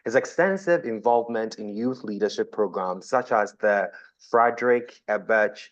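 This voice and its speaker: A man's voice: lowish voice, normal speed, lo-fi sound. A man hosting a conference, reading a formal speaker introduction and stumbling over a few names. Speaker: conference host.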